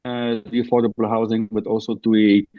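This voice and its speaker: A man from Belgium, a guest on a podcast, explains his work slowly with many hesitations and restarts. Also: on the low side, little pitch movement. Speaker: guest, co-living founder. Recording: dull lo-fi remote audio.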